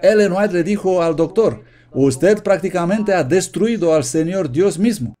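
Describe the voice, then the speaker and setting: Earnest man preaching in a mellow voice. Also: sits high, animated, a little slow. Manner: earnest, emphatic, reading quotations aloud. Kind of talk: sermon read from notes, Spanish voice-over.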